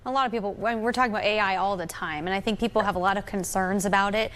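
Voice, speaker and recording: An American woman works as a television news anchor, reading stories and putting questions to a guest. Her voice sits at a middle pitch for a woman, delivered in a broadcast news interview style. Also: fairly even intonation, rapid-fire, a bit bright.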